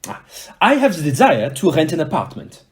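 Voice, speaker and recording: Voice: medium pitch, huge pitch swings, normal speed. A performer playing a fussy, formal Belgian male detective in an audio play, with a French accent and precise, courteous speech. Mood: fussy, polite.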